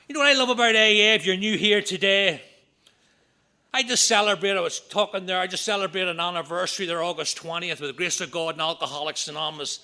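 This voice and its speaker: A middle-aged man with a Northern Irish accent, giving an earnest talk at a recovery meeting with quick asides. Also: high voice, sharp tone. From Northern Ireland. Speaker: AA speaker. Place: meeting room.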